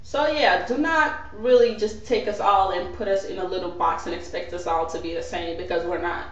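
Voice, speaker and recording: A young woman from West Africa ranting casually to camera as a vlogger, sarcastic and animated, with many filler "likes". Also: fairly low pitch, normal speed, slight background noise. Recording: very echoey, distant, dull lo-fi sound.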